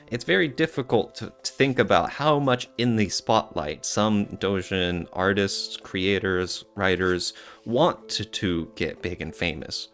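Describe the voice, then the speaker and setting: A man's voice: on the low side, a bit bright. A man co-hosting a podcast in casual discussion, often searching for his words. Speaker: podcast co-host.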